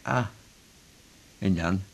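A cheeky old boozer, gravelly and slow, teasing the young doctor. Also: male voice, low voice, heavily acted. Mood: wry. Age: older. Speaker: patient. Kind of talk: 1980s radio drama acting.

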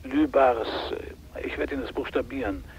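An actor playing a weary, halting businessman who reports over a telephone in a radio play. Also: medium pitch, creaky. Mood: tense, subdued. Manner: hesitant, halting. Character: weary, hesitant businessman passing on ransom news by phone. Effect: telephone filter. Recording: muffled noisy phone line.

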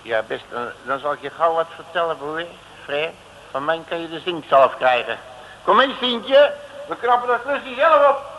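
An actor playing a cocky, slangy working-class detective in a 1950s Dutch radio comedy serial. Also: male voice, on the high side, expressive, normal speed, bright, forceful tone. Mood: exasperated. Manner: slangy, blunt, self-assured. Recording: noisy old radio recording.

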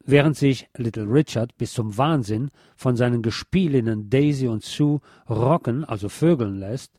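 A man with a soft, mellow voice narrates a scripted music history feature as a radio host. The recording is very dry and close, with no room sound around him. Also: medium pitch, dry recording.

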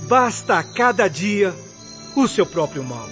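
An actor playing a humble, good-humored and devout poor farmer in a radio drama, speaking earnestly. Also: male voice, high voice, sing-song, slow pace, bright, forceful tone, faint music behind, full character voice. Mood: devout, hopeful. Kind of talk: Brazilian radio drama acting. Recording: dull lo-fi audio.

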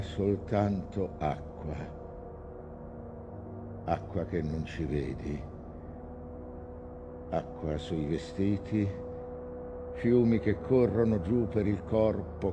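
An actor playing a haunted seafaring man in a theatrical monologue, brooding and deliberate, with heavy pauses, in a noisy stage recording. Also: deep voice.

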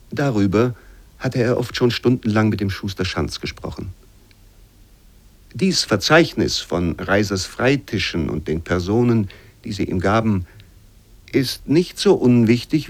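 A man reads old literary prose as a radio narrator, in a measured, formal and expressive way. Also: lowish voice.

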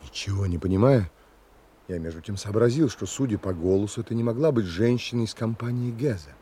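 A man's voice: lowish voice, fairly quick, dark tone, a little hiss or hum, natural voice. An actor playing a proud, indignant castaway who narrates his sea adventure in an audio drama, dramatic and literary. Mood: tense narration.

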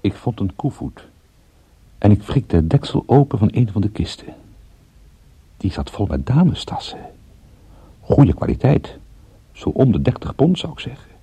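Sardonic detective, husky gravelly voice, lively intonation, understated, radio play. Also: male voice, lowish voice, normal speed, long pauses, no echo, full character voice. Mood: dry narration. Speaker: lead character and narrator. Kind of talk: radio drama narration and dialogue.

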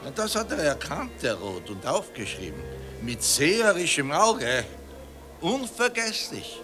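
Radio drama, effusive old-empire monarchist gent, declamatory, very varied intonation, music behind. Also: male voice, a little high, sing-song. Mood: rapturous, solemn. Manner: pompous, halting, declamatory, effusive. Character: pompous, nostalgic Austrian monarchist gentleman, effusive admirer. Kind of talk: German radio play acting.

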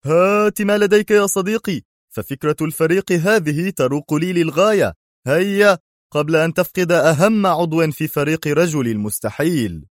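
A man's voice: fairly high pitch, normal speed, no echo, clean studio sound, pitched above the performer's own voice, natural voice. A man voicing a jovial, boisterous, very fat forger with a cheerful manner.

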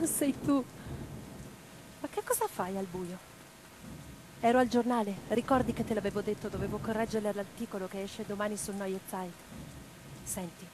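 An actress playing a spirited, passionate young woman revolutionary in a radio play, expressive and theatrical. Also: mid-range voice, background noise, lightly acted. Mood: affectionate, hesitant. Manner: expressive, theatrical, declamatory when roused.

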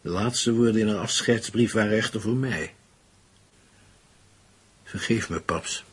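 An actor in a radio play playing a grieving father who speaks in a subdued, halting way. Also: lowish voice, husky. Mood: subdued grief. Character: grieving father recalling his daughter's farewell letter.

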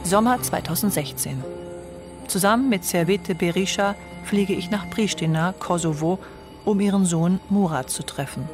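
A woman narrating a radio documentary feature in a calm, measured reading style. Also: on the low side, over music. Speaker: narrator and author.

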